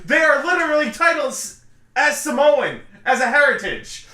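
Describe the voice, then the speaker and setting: A man's voice: very high voice, big pitch swings, moderate pace, sharp tone, far from the mic. A young man co-hosting a casual wrestling podcast who teases and heckles the others. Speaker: podcast co-host. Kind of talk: casual wrestling review chat. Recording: echoey room with faint noise.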